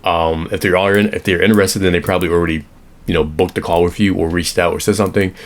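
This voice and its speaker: Low-voiced hoarse man explaining automations. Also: steady tone, fast. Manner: rambling, self-correcting, drawing on an iPad. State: hoarse, recovering from illness. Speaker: automation consultant. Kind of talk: casual screen-share tutorial.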